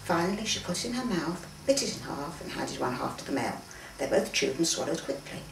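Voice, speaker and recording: A woman's voice: deep voice, big pitch swings, a little fast, vocal fry. A woman reading her own fiction aloud expressively at a live event in a home, acting out dialogue and occasionally stumbling over words. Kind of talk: expressive story reading from a manuscript. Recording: roomy, distant mic, faint background noise.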